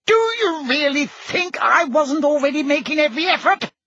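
A man's voice: high-pitched, big pitch swings, a little slow, fairly bright tone, breathy edge, no echo, lo-fi sound, voice pushed up, exaggerated voice. A man voicing a flustered, defensive older wizard minister in an audiobook, speaking with agitated urgency. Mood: heated, indignant. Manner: agitated, urgent, self-justifying. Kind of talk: audiobook character acting.

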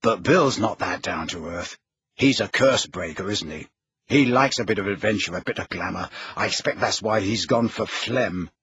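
A man's voice: on the low side, normal speed, fairly bright tone, slightly rough. A man voicing a sly, outspoken teenage girl with a cutting, mocking manner in an audiobook story.

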